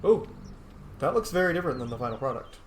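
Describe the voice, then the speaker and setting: A man's voice: medium pitch, very animated, fast talker, a little hiss or hum. A man who is sick, giving informal, casual commentary as he plays a video game. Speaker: gaming streamer. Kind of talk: casual let's-play commentary. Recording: roomy, with faint music behind.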